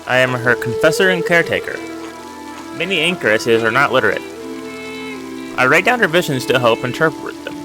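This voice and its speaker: A man voicing a solemn, devout priest in a narrative game, speaking in a measured, formal, pious way. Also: medium pitch, lively intonation, natural voice, low-quality recording. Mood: matter-of-fact. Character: solemn devout priest, gentle confessor, male. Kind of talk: reading aloud narrative game dialogue. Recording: music and rain behind the voice.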